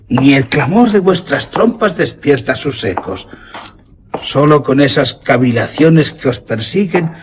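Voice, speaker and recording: Guy acting old superstitious huntsman, castilian accent, archaic radio drama talk, very animated pitch, bit of hiss and clicks. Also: lightly acted. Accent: Castilian Spanish. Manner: solemn, archaic, theatrical diction. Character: old loyal huntsman servant, superstitious and anxious.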